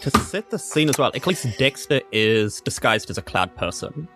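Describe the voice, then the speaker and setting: A man's voice: medium pitch, sing-song, normal speed, music in the background. A man chatting casually as a player in an improvised tabletop role-playing game. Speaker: player in a tabletop RPG podcast.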